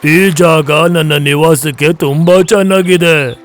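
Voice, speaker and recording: A man's voice: a little high, fairly even intonation, slow, faint music behind. A man voicing a clever old ox in a children's cartoon, declaiming boldly. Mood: content.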